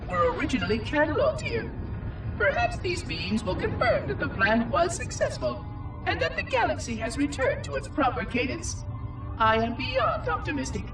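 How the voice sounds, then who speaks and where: A man's voice: very high-pitched, full character voice. A man voicing an ancient, dutiful and curious robot caretaker in a game cutscene, speaking formally and precisely with synthetic processing. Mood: curious, optimistic. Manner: formal, precise, fussily articulate. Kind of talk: scripted game cutscene monologue. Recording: echoey, distant, music underneath.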